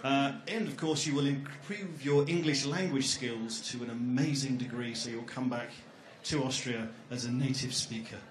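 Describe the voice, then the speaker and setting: A man's voice: medium pitch, normal speed, hardly any pauses, slight background noise. A middle-aged man co-presenting at an education fair, rambling and joking with lots of ums. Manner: jokey, rambling, with many fillers. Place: large echoey exhibition hall. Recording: echoey hall.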